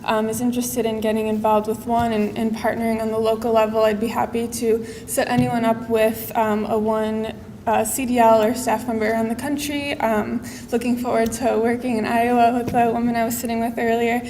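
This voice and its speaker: A woman organizer speaking on a conference panel. She talks in an upbeat, halting way with frequent ums. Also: fairly high pitch, flat delivery, mellow. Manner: hesitant, many "um" fillers, upbeat. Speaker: advocacy staff organizer for the Midwest region. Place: conference hall. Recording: reverberant hall with faint noise.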